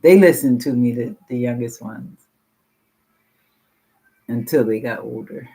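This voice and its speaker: Deep-voiced older woman, husky. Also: measured pace, dark tone, poor audio. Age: 60s. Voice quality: deep, low voice. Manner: rambling, frank, casual swearing. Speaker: meeting participant sharing. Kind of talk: candid personal share.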